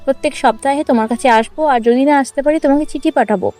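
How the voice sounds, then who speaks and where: Woman voicing an earnest, high-pitched teenage village girl. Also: fast talker, darkish tone, close to an everyday voice. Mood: reassuring. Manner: pleading, insistent, repeats words emotionally. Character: earnest, determined sixteen-year-old village girl, loving daughter. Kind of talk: dramatized cartoon story acting. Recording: music under the voice.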